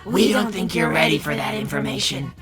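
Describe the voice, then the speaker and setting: A woman's voice: deep voice, fairly quick, over loud music, natural voice. A woman voicing a laid-back, mischievous teenage twin boy in an anime parody dub, dry and languid.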